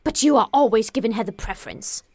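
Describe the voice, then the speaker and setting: An excitable, gossipy middle-aged British wife in a classic-novel audiobook. Her voice sits very high and swoops freely as she gushes, nags and frets. The sound is dry and close. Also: quick pace, creaky, no room sound. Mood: vexed, fretful. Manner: exclamatory, gushing, fretful. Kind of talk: audiobook character acting.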